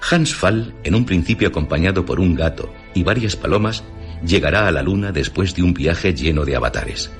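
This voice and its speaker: A man with a Castilian Spanish accent presenting literary radio stories in a measured, dramatic scripted narration. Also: low voice, expressive. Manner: measured, dramatic storyteller delivery. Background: music behind the voice.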